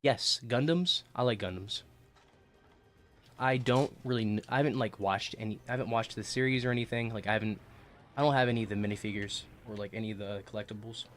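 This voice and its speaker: Man chatting loosely while gaming, slightly rough throat. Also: on the low side, a little fast, a bit bright, slight background noise. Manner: joking, rambling with restarts. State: throat getting rough from oncoming illness. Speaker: streamer. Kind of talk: casual gaming livestream chat.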